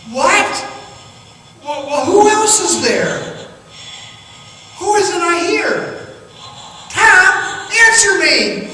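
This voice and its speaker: A man playing a frightened miner recounting a haunting underground ordeal as a halting, suspenseful radio theatre monologue. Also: very high-pitched, animated, pushed and bright, heavily acted. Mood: panicked. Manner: halting, suspenseful, dramatic storytelling. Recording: noisy, very echoey hall, distant mic.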